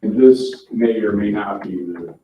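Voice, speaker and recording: A man's voice: fairly low pitch, unhurried, dark tone. A man making suggestions informally during a meeting. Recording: very echoey, distant, dull, faint noise.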